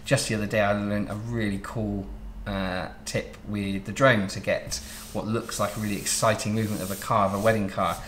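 A man in his thirties from Southwest England hosting a relaxed interview, chatting and explaining at length. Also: lowish voice, a little fast, few pauses, reverberant, slight background noise. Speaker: interview host, wedding photographer. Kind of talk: casual interview. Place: home studio office, indoors.

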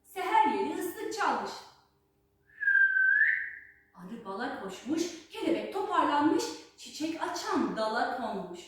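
A woman's voice: high voice, sing-song, takes it slowly, pauses often. A woman telling a children's folk tale as a storyteller, with rhythmic, rhyming, theatrical delivery. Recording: very echoey space, distant microphone.

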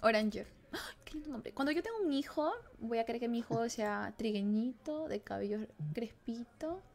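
A woman's voice: fairly high pitch, animated, normal speed, vocal fry, darkish tone. A Peruvian woman in her twenties chatting casually and flirtatiously, with slangy, teasing talk. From Peru. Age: 20s. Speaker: streamer chatting with strangers on video chat.

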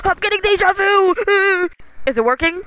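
High-pitched, animated woman voicing cartoon shorts. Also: normal speed. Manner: excitable, slangy, exclamatory. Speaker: amateur voice-over performer dubbing animated shorts. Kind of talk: animated fan dub, acted exclamations and casual chatter.

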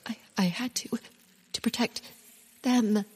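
A woman playing an earnest, awkward, exasperated scientist with a husky voice in a comic audio drama. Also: fairly high pitch, measured pace, big-room sound. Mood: confessional.

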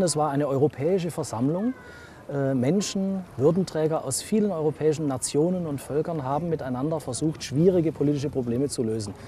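A man explains history in a relaxed way, with the odd filler. His tone is dark and mellow. Faint outdoor town noise sits behind him. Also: mid-range voice. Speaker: interviewed historian. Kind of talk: explaining in an interview.

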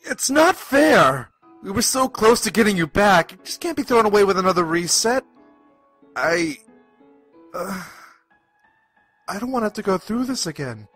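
A man voicing a weary, caring skeleton son in a cartoon, hesitant, halting and earnest. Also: sits high, very animated, a bit bright, husky, soft background music, exaggerated voice. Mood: upset, pleading. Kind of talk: animated fan dub acting.

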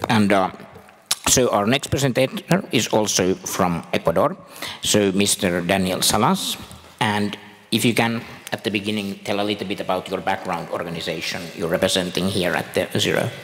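A man's voice: sits low, steady tone, moderate pace, fairly bright tone, slightly rough. A man in his thirties informally moderating a conference panel at a table microphone. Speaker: session moderator.

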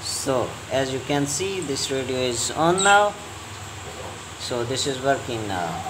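A man talks slowly through a hands-on repair tutorial. He gives instructions in short, halting phrases and often repeats them. A clear, steady background noise runs under his voice. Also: mid-range voice. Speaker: repair technician and channel host.

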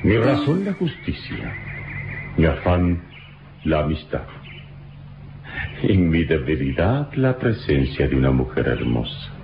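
Very deep-voiced gallant adventurer hero. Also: male voice, sing-song, gravelly, background noise, exaggerated voice. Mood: gallant, philosophical. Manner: measured, suave, grandiloquent. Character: noble, worldly male adventurer hero, calm and gallant. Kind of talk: old radio serial acting. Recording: muffled old radio recording with music.

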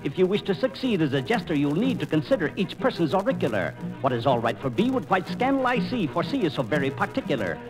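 An actor playing a witty, weary English court jester in 1940s radio operetta, with theatrical, rhythmic delivery and archaic phrasing. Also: male voice, fairly high pitch, very animated, quick pace, few pauses, over music, rough recording. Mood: jaunty, wry. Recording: 1940s radio transcription disc.

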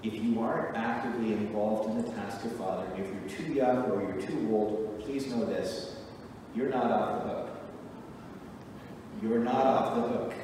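A middle-aged man preaching a sermon from a church pulpit, earnest and emphatic with measured pauses. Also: fairly low pitch, noisy background. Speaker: pastor. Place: church sanctuary, at a wooden pulpit. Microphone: pulpit mic, recorded from a far camera. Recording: echoey church hall from far off.

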